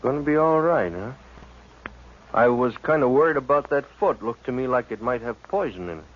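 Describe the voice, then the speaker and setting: A man's voice: mid-range voice, lively intonation, normal speed, natural voice. An actor playing a shifty, defensive frontier man with an American accent in a 1950s radio western, brusque and evasive. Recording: muffled, noisy 1950s radio recording.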